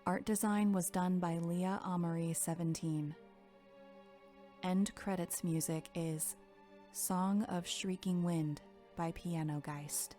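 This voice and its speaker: Female credits announcer. Scripted, unhurried read, slightly low voice, music. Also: steady tone, mellow.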